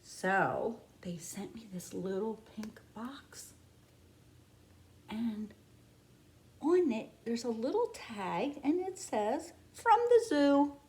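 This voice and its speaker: A woman reads a picture book to preschoolers in a fairly high voice. Her delivery is slow and very animated, with the exaggerated wonder of a teacher at storytime. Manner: expressive, exaggerated wonder for small children. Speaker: preschool teacher reading to class. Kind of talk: children's picture book read-aloud.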